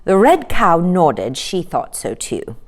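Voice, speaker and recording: A woman storyteller narrating a children's story in an expressive read-aloud style. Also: on the low side, slow pace.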